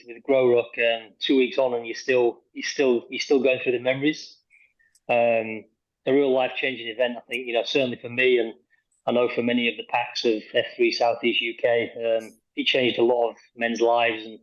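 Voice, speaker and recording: A man's voice: mid-range voice, little pitch movement, a little fast, muffled recording. A man from Yorkshire, a guest on a podcast telling a story over a video call, hesitant with lots of ums and the odd chuckle. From Yorkshire, England. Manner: rambling, many ums, chuckles through story. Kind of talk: casual podcast interview.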